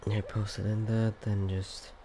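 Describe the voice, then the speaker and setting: A man's voice: lowish voice, level tone, takes it slowly, background noise. A young man with a Polish accent mixed with Finnish, chatting casually and rambling on a voice call before commentating a race, tired from no sleep. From Poland. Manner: rambling, laughs often, trails off quietly. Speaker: racing league commentator. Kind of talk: casual chat.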